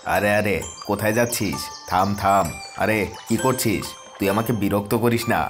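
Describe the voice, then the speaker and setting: A man's voice: lowish voice, normal speed, over music, lightly acted. A man voicing a squabbling little magical dwarf in a cartoon, blunt and chiding. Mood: annoyed.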